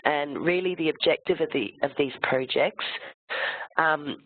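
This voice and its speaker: A webinar presenter with a cold and a croaky voice, explaining the material step by step, slide by slide. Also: mid-range voice, measured pace. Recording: tinny phone-like line, dry sound.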